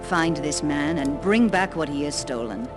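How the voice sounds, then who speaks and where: A woman playing the grave older leader of a sorceress order in a fantasy game, speaking in a measured, formal, authoritative way. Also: deep voice, expressive, over music. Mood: grave, commanding. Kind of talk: scripted fantasy game acting.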